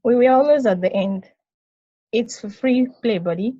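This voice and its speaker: A woman playing a gentle, reassuring space probe companion in an amateur play reading. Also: on the high side, normal speed, muffled recording, lightly acted. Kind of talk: amateur play table read.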